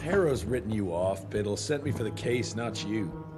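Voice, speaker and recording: A man performs a gruff Western game hero, a blunt agent with a short temper. He talks in curt, confrontational jabs at a medium pitch, over soundtrack music. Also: animated, moderate pace, lightly acted. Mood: stern. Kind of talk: video game voice acting.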